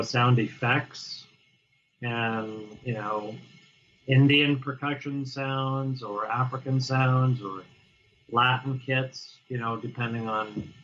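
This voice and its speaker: A man talking casually as an interview guest, halting and restarting often as he explains how he plays drums. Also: medium pitch, a bit bright, muffled recording. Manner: halting, many restarts and fillers. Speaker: guest drummer.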